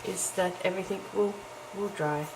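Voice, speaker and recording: Croaky low-voiced woman. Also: normal speed. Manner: chatty and self-deprecating, with frequent ums and little laughs. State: croaky morning voice. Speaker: crafting vlogger. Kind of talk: casual chat while crafting. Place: home, early morning. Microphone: camera's built-in mic. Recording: noisy home camera recording.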